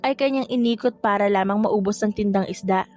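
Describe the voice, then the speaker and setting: A woman's voice: medium pitch, brisk, a little mellow. A woman reading scripted romance fiction as an audiobook narrator. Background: music.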